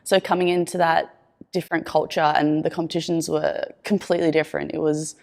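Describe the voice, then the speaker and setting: A woman in an interview talking warmly about her club. She speaks fast in a lowish voice. Vocal fry runs through her words. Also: flat delivery. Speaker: pom team coach.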